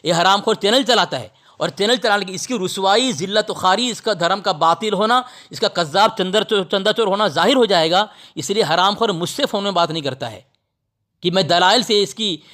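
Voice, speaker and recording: High-pitched combative man, religious debater. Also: fairly quick, pushed and bright. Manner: combative, abusive, lecturing; repeats phrases emphatically. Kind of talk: polemical religious argument over a phone call.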